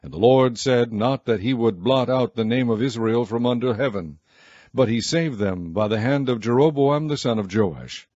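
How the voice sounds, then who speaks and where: A man reading scripture aloud in a measured, formal way. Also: medium pitch, darkish tone, no room sound, muffled recording. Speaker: Bible reader.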